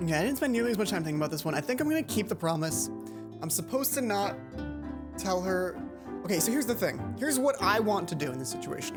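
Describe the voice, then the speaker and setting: Let's play host, woman, chatty and sweary, casual commentary over game music. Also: lowish voice. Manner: chatty, swears, excitable asides.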